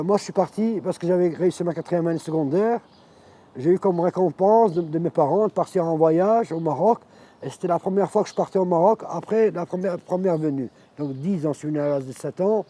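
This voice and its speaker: A man in his fifties from Morocco, an Arabic speaker, telling his life story in a reflective, halting way. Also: a little high, mellow. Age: 50s. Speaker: interviewee. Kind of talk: personal life testimony.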